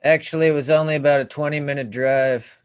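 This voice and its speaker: A man's voice: fairly high pitch, fairly even intonation, quick pace, clear, resonant tone, no echo, close to an everyday voice. Angry obsessive male writer, acted by a man, bitter and ranting, dry table-read sound. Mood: grimly determined. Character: angry, obsessive young male failed screenwriter. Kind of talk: screenplay table read acting.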